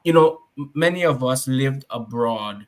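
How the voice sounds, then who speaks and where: A man's voice: medium pitch, lively intonation, measured pace. A man hosting a talk show, asking pointed questions and reading out viewer comments. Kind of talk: casual talk show discussion.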